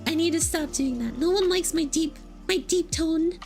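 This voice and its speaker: A VTuber woman with a very high voice chats casually with her viewers, playful and giggly as she teases them. Faint background music plays behind her. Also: moderate pace, a little mellow. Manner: playful and giggly, teasing chat. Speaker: VTuber streamer. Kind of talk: casual livestream chat, answering would-you-rather questions.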